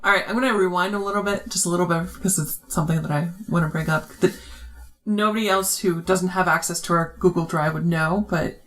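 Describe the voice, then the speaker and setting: A woman's voice: lowish voice, fairly even intonation, fairly quick, fairly bright tone. A woman who helped make a podcast, talking casually in a group discussion. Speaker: podcast creator.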